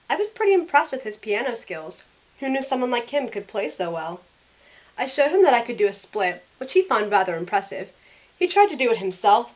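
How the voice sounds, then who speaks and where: A woman's voice: medium pitch, lightly acted, rough recording. A young woman playing a sassy, loyal modern heroine, narrating in the first person in a wry, conversational way. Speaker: narrator and lead character. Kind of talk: first-person story narration with in-character dialogue.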